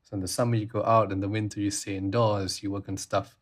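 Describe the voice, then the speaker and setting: Man, low voice, casual podcast conversation, rambling reflective delivery. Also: flat delivery, fast talker. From Malaysia. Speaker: podcast co-host.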